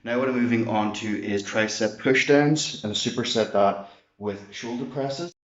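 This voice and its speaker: A man's voice: mid-range voice, normal speed. A young man chatting casually to camera during a home workout, boisterous and chatty. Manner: boisterous, chatty, swears when hyped. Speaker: vlogger. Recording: echoey, dull lo-fi room sound.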